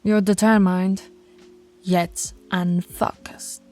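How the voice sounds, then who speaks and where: A woman voicing a dominant, stern alpha werewolf pack leader in an ASMR roleplay, scolding and taunting in a commanding, deliberate way. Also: medium pitch, little pitch movement, dark tone, faint music behind, natural voice. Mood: firm, admonishing.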